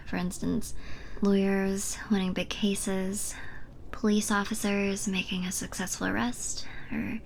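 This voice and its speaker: A woman playing a flirty, playful bartender in an ASMR roleplay, speaking in a soft, intimate, breathy half-whisper. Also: medium pitch, slight background noise, close to an everyday voice. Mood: knowing, sympathetic.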